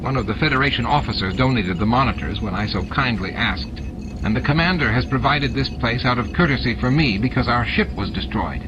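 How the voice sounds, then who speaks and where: A man's voice: mid-range voice, animated, a little fast, noisy background, lightly acted. Male narrator as a fawning alien henchman, cowardly, placating, eager to please, audiobook character, dull recording, music underneath. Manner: obsequious, placating.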